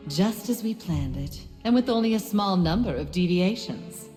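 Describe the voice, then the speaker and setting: An actress playing a cold, composed mastermind in an anime game dub. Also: female voice, fairly low pitch, animated, normal speed, dark tone, soft background music, close to an everyday voice. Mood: coolly satisfied. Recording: long hall reverb.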